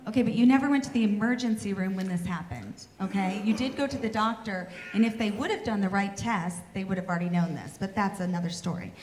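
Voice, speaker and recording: A woman, the pastor's wife, telling briskly and with some teasing how her husband ended up in the hospital. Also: mid-range voice, darkish tone. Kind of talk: casual chat. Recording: echoey room with faint noise.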